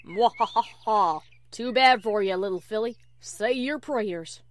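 A woman's voice: fairly high pitch, a little slow, close to an everyday voice. Woman putting on a growling, hammy big bad wolf. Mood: menacing glee. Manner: folksy, taunting, hammy. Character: menacing hungry wolf, mock villain played by farm pony.

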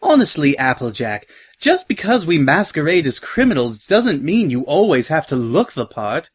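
A man's voice: on the high side, sing-song, normal speed, husky, dry recording, studio quality, voice pushed up. A man voicing a refined, haughty female pony in an audio story, speaking with polite disdain. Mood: disdainful.

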